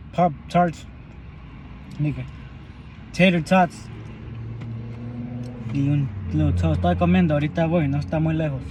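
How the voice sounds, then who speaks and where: A man's voice: a little high, a little mellow, slight background noise. A young man talking while he eats in a parked car, in a loose, rambling and profane way for his vlog. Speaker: vlogger. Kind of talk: casual eating vlog monologue.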